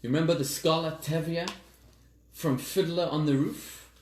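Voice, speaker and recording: Man, rabbi, from southern Africa, storytelling sermon, slightly high voice, echoey room. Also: steady tone, darkish tone. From Zimbabwe, raised in South Africa. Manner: storytelling with dramatic pauses, slowing on key words.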